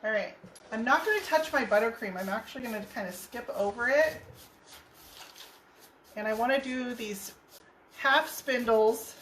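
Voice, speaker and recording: A woman in her thirties with a hoarse voice, hosting a live furniture painting tutorial and chatting as she works. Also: a little high, normal speed, long pauses, a bit bright. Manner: chatty, laughs easily. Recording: roomy, distant mic, faint noise.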